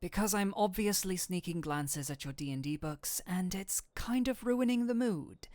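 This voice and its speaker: A woman voicing a flirtatious incubus demon who is secretly an excitable nerd, in a scripted roleplay with theatrical, formal phrasing that slips into flustered gushing. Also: on the low side, normal speed, dry recording, studio quality, natural voice. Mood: flustered, indignant. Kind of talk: scripted roleplay audio addressed to the listener.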